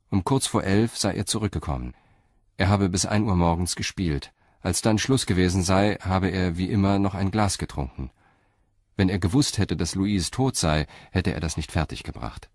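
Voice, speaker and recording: Low male voice narrating a crime story, sober and measured, very dry studio recording. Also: a little mellow, no echo.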